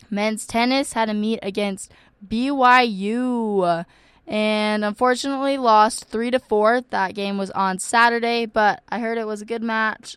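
A young woman hosts a campus radio show, rattling off events in a chatty way with lots of ums. Her voice is wearing out and cracks at times, though its tone stays smooth. The sound is dry and close. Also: mid-range voice, dry recording. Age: young adult. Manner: chatty, many ums and likes. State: losing her voice, occasional cracks. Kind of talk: casual radio announcements.

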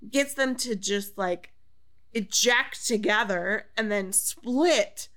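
A woman's voice: medium pitch, takes it slowly, fairly bright tone. A woman whose first language is Spanish, chatting as a guest on a podcast in a loose, rambling way, swearing freely. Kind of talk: casual chat.

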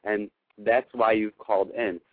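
A man's voice: lowish voice, level tone, measured pace, husky, no echo. A man hosting a call-in advice show, talking in an earnest, affirming and emphatic way in a spiritual coaching style. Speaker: radio show host giving advice to callers. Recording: narrow, phone-like radio sound.

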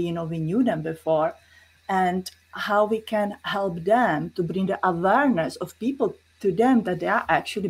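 A woman's voice: mid-range voice, breathy edge, poor audio. A woman with a Czech accent, a guest in an interview, explaining her views earnestly with many fillers. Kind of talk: casual interview talk.

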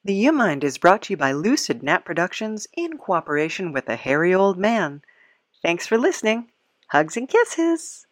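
Low-voiced chatty woman podcast host. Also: sing-song, moderate pace. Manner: chatty, self-deprecating, sing-song emphasis. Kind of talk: casual outro with plugs.

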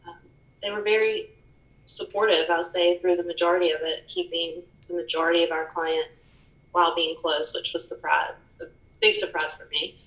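A soft-spoken woman guest on a podcast, speaking candidly and reflectively in casual conversation. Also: fairly low pitch, fairly even intonation, moderate pace, echoey room, highs cut off. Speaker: podcast guest, fitness studio owner. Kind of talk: casual interview chat.

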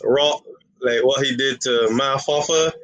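A man with a Barbadian accent talks in a relaxed, easygoing way, sure of his picks and in no hurry. His voice sits at an ordinary pitch and sounds dry and close, with little room sound around it. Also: a little slow, dry recording, rough recording. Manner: laid-back, confident opinions on battle rap. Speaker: guest caller. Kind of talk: casual chat.

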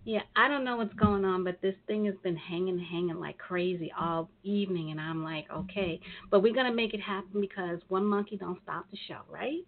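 A woman's voice: mid-range voice, a little fast, hardly any pauses. A woman hosting talk radio, chatting casually and a little nasal from a cold, with frequent fillers. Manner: chatty, rambling, with many fillers. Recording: tinny phone line.

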